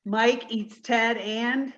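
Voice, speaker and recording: A woman's voice: a little high, fairly even intonation, extremely slow, fairly bright tone. A woman taking part in a casual group conversation as a cast member, on slightly muffled audio. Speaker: cast member in a post-show discussion.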